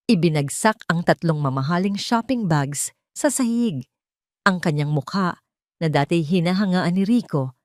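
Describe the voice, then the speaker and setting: A woman narrating a scripted dramatic story in a measured way. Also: mid-range voice, darkish tone, no echo, clean studio sound.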